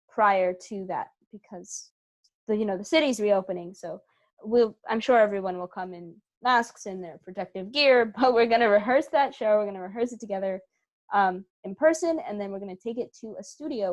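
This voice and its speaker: A woman's voice: medium pitch, normal speed. A woman speaking casually as a guest in an interview about her creative work. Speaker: interview guest, theater company co-founder.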